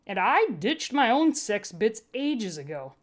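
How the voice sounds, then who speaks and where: A woman voicing a gruff, weary human medic with a blunt, emphatic, argumentative way of talking, in a story reading. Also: a little high, very animated, a little slow, pitched above the performer's own voice. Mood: challenging.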